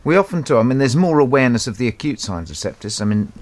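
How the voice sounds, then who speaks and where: A man interviewing a guest with brisk, engaged questions. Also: mid-range voice, animated. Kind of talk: broadcast interview.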